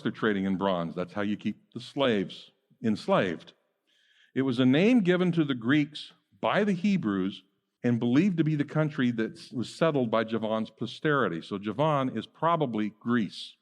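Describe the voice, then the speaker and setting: A middle-aged man preaching a teaching sermon as a pastor, talking conversationally with many fillers and quick asides. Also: mid-range voice. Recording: long hall reverb.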